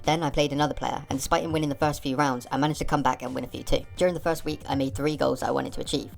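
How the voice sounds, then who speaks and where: Very low-voiced woman, rapid-fire gaming narration. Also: creaky. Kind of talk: scripted voice-over narration.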